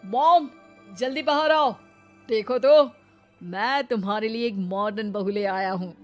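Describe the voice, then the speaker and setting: A woman voicing an eager, picky young adult son in an animated story, agreeable and mixing in English. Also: a little high, measured pace, soft background music, lightly acted. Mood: eager, pleased. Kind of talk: animated moral story acting.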